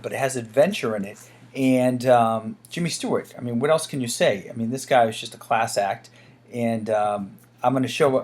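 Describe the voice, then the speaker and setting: A man talks casually to his viewers as a channel host. He sounds like an enthusiastic fan, rambling along in an informal, conversational way. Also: medium pitch. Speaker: host of a channel on radio dramas.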